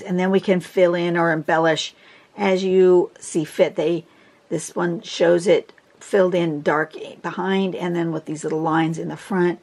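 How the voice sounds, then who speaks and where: Hoarse woman chatting through a cold. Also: on the low side, darkish tone. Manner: chatty, rambling, many fillers and throat clearing. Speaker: drawing tutorial host. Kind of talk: casual instructional talk. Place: indoors at a table, filming a phone screen.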